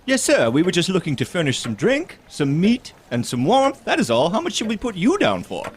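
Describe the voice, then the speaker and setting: A man playing an earnest, courteous gentleman collecting for charity in an audio drama, formal and pleading. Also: sits high, wildly swooping pitch, fairly quick.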